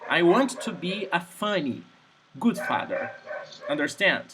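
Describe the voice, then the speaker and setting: A man's voice: high voice, sing-song, measured pace. Man, noisy background. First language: Portuguese. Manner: keeps checking "Understand?", frequent restarts. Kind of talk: casual advice-giving chat.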